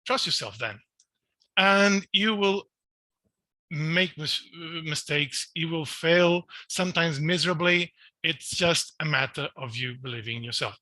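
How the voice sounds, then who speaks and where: A man's voice: fairly high pitch, animated, unhurried, bright, forceful tone, dry recording. A middle-aged man from Portugal with a Portuguese accent, giving an earnest conference talk full of restarts and fillers. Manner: earnest, self-questioning, frequent restarts and fillers. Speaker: researcher giving a congress talk. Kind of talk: recorded online conference talk.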